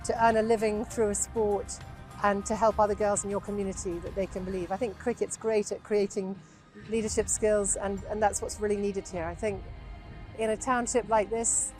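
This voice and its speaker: A woman with a middle-pitched voice speaks earnestly in a documentary interview. She is measured and sincere, and soft music plays underneath her. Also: fairly even intonation.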